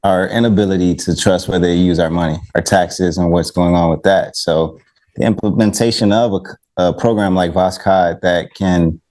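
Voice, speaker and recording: A man with a low-pitched voice gives his opinion as a guest on a talk panel. His delivery is casual and measured. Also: fairly even intonation, a little mellow. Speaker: guest, recording artist.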